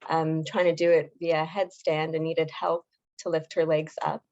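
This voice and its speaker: Hoarse woman on a dull line. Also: low voice, flat delivery, normal speed, darkish tone. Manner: articulate, explains clearly. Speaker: journalist guest. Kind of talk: interview answers.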